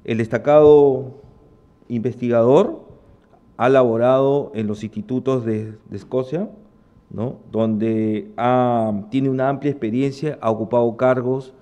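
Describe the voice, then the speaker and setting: A man's voice: mid-range voice, fairly even intonation, measured pace, warm tone. A man moderating a technical talk, reading out a speaker's background with occasional personal asides. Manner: reads a bio aloud with small asides. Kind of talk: introducing a speaker.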